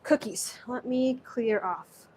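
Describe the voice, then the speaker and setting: A woman's voice: a little high, slow, a little mellow, a little hiss or hum. A woman from Southeast Asia hosting a live-stream cooking show from her home kitchen, chatting loosely and reading viewer comments aloud. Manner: chatty, reads viewer comments aloud, rambling with many restarts. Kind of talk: casual live-stream chat while cooking.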